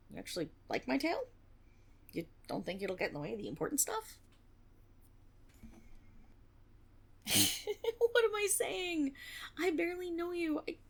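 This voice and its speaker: A woman's voice: high-pitched, big pitch swings, brisk, heavily acted. A woman playing an eager, nervous young female tiefling rogue in an intimate fantasy audio roleplay, rambling and stammering with a teasing streak. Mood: flustered, shy. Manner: nervous rambling with stammers, sighs and breathy giggles.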